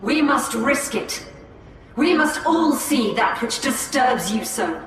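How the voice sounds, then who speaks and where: High-voiced resolute alien leader, echoing. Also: female voice, lively intonation, takes it slowly, soft background music. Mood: grave, determined. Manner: earnest, formal. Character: resolute, rebellious Eldar woman leader. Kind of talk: dramatic game cinematic acting. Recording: echoey, distant, faint noise.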